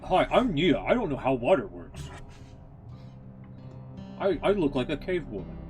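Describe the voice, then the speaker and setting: A man's voice: mid-range voice, sing-song, measured pace, a little mellow, faint music behind, voice pushed up. A man voicing a dim-witted, self-absorbed young woman in a mocking, simple-minded way.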